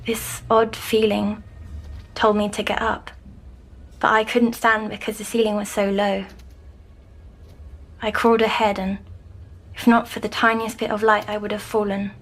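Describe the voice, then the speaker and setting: An actress playing a timid young girl troubled by nightmares in an audio drama, speaking hesitantly and softly. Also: mid-range voice, level tone. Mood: haunted, wondering. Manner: hesitant, halting, softly descriptive. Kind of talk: scripted audio drama acting.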